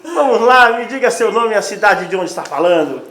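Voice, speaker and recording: A Brazilian man hosting a casual, joking interview with a playful, teasing manner and quick banter. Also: high voice, expressive, crowd noise. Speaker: host interviewing vocal coaches. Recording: roomy echo with voices around.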